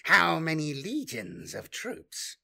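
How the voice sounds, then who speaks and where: A man's voice: on the high side, big pitch swings, slow pace, gravelly, sharp tone, voice pushed up, heavily acted. A man voicing a scheming male sorcerer who fawns obsequiously before his master. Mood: fawning. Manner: obsequious, careful.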